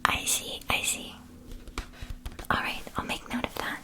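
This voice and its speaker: A woman playing a gentle, reassuring witch shopkeeper in an ASMR roleplay, whispering in a soft, soothing way. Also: unhurried, lightly acted. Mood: gentle, informative.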